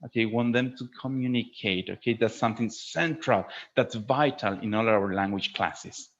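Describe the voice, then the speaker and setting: A man's voice: on the low side, normal speed, dull audio. A man teaching a webinar to fellow teachers in a didactic way, often checking in with a short questioning tag at the ends of phrases.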